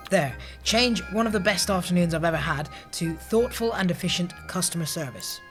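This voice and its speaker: Blithe, scatterbrained office schemer. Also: male voice, sits high, a little fast, a bit bright, faint music behind. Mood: distracted, cheerful. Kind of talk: comedy audio drama acting.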